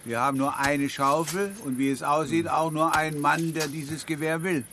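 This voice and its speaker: An actor playing a mocking, unrepentant warrior in a Western radio drama, with a nonchalant, taunting delivery. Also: male voice, fairly high pitch, little pitch movement, normal speed, fairly bright tone, a little hiss or hum, lightly acted.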